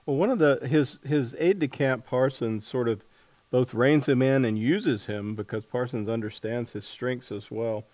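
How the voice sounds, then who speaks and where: A man's voice: medium pitch, moderate pace, darkish tone, dry recording. A man hosting a casual interview, feeling his way through questions and rephrasing them as he goes. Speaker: interviewer, host.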